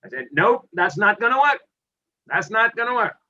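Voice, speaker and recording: Animated older Dominican man. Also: high voice, moderate pace, lo-fi sound. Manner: animated storyteller who acts out quoted exchanges. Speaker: interview guest, retired army officer. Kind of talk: storytelling interview.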